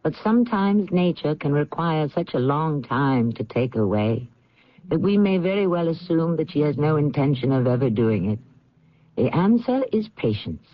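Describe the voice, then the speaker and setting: Guy hosting old radio mystery, portentous n deliberate, highish lively pitch, muffled lo-fi. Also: dry recording. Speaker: radio drama host. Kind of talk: scripted dramatic radio narration. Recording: dull lo-fi old radio recording.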